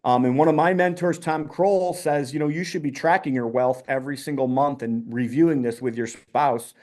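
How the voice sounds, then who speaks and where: A man's voice: medium pitch, steady tone, moderate pace, a little mellow. A man hosting a casual online community call, upbeat, with many ums and restarts.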